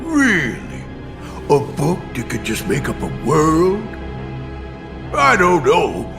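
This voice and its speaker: A man voicing a big, oafish cartoon villain in a video game, gravelly, brash and slangy. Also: fairly high pitch, huge pitch swings, slow, music in the background, exaggerated voice. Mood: greedy, doubtful.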